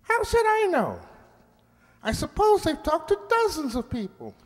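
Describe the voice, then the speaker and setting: High-pitched, animated talking mouse. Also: unhurried, heavily acted. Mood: matter-of-fact. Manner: matter-of-fact, wisecracking. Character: small talking lab mouse, plainspoken, peaceable family male. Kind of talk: amateur radio drama acting. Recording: echoey hall-like reverb.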